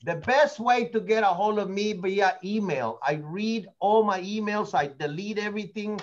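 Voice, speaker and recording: A man's voice: sits high, normal speed, muffled recording. An older man, not a native English speaker, running a real estate training meeting in an opinionated, rambling way, repeating words as he goes. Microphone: meeting recording.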